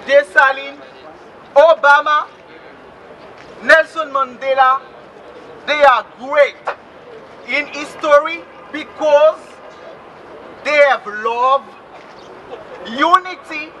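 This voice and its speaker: A man from Haiti giving an impassioned speech at a rally, delivered slowly in short chant-like declarations. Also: very high-pitched, bright, forceful tone, rough recording. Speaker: rally speaker. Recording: outdoor crowd and street noise.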